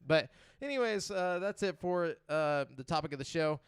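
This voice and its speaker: A man's voice: on the high side, animated, moderate pace, clear, resonant tone, no echo, studio quality. A man hosting a podcast in casual, slangy chat, with some swearing. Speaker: podcast host.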